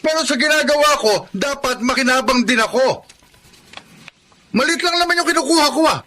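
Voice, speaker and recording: A man's voice: extremely high pitch, a little fast, bright, forceful tone, slight background noise. A Filipino man playing a corrupt, hot-tempered middle-aged village captain in a radio drama, blustering and domineering. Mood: defensive.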